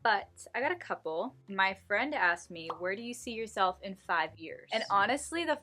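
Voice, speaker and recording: A woman's voice: medium pitch, animated, moderate pace, pushed and bright, soft background music. A woman in her early twenties with an American accent, chatting informally and emphatically in a rambling vlog Q&A. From Houston, United States. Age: early 20s. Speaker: vintage reseller and vlogger.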